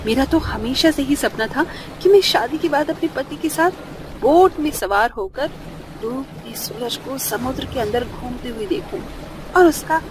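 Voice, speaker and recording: A woman's voice: sits high, expressive, a little slow, noisy background. A woman voicing a romantic, anxious young newlywed wife in a cartoon. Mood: happy. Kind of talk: Hindi cartoon dialogue.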